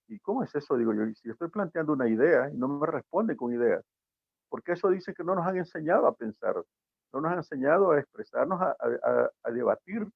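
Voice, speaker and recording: Gravelly man on a tinny line. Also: mid-range voice, no room sound. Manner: reflective, lecturing, rhetorical questions. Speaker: guest commentator. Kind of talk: opinion talk on a show. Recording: tinny phone-like line, dry.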